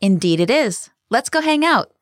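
A woman's voice: on the high side, sing-song, moderate pace. A woman hosting a scripted language lesson with an upbeat teacher delivery, recorded close on a studio mic.

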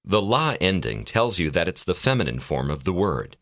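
A man narrating a language course, calmly prompting the learner to repeat phrases. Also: sits low, moderate pace, no echo, clean studio sound.

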